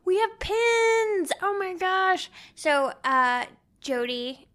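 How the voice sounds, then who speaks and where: A woman co-hosting a podcast in casual book chat, with a gushing, excitable manner. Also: very high voice, extremely slow. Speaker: podcast co-host.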